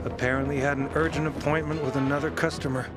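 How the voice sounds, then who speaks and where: A man's voice: medium pitch, little pitch movement, quick pace, over loud music, natural voice. A man playing a suspicious, taunting lookout in a video game, needling in a casual way. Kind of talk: video game cutscene acting.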